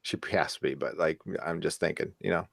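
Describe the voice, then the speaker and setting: A man's voice: extremely low pitch, brisk, vocal fry, a bit bright, no room sound. Guy hosting a fan podcast, chatty and informal, really dry sound no room. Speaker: podcast host. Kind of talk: casual fan podcast discussion.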